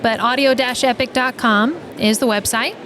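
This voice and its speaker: Higher-voiced American woman, noisy venue. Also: steady tone, moderate pace. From New Mexico, USA. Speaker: guest, audio drama creator and writer. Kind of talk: casual interview conversation. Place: live at an event being packed up. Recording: clattering teardown noise, busy event. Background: things dropped, loud ambient clatter.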